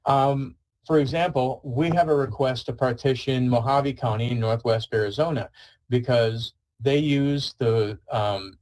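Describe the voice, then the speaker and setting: A man's voice: medium pitch, a little mellow, rough recording. A man giving an informal webinar presentation, explaining things in a conversational way as a weather service presenter.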